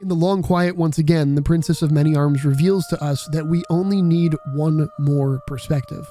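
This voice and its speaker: A man's voice: on the high side, fairly even intonation, normal speed, mellow, faint music behind. A man narrating a video essay in a casual, reflective way, with the occasional swear.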